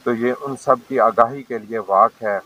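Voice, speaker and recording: A man slowly and deliberately speaks about public health. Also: medium pitch. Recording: distant, lo-fi.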